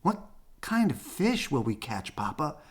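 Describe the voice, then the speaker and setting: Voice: medium pitch, big pitch swings, measured pace, pitched above the performer's own voice. A reader voicing a curious, outspoken young boy bear cub from a storybook, in an eager boyish manner.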